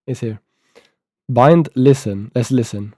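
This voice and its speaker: A man's voice: medium pitch, slow, darkish tone, no echo, studio quality. Eighteen-year-old man mumbling through code. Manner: mumbling, thinking aloud, reading docs half to himself. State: sore throat. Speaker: live-coding streamer. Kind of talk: casual live-stream talk while coding.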